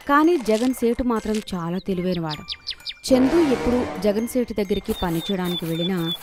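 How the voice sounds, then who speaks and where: A woman's voice: mid-range voice, a little mellow. A woman storyteller reading a scripted children's moral tale.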